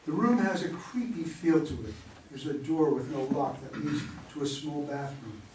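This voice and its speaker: A man's voice: fairly high pitch, normal speed. A man reading out a play's cast list and stage directions as scripted narration, at some distance in a roomy space. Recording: roomy, distant mic, faint noise.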